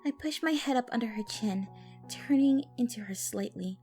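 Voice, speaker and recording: A woman's voice: on the high side, normal speed, darkish tone, soft background music. A woman narrating fan fiction expressively in the first person, as a storyteller.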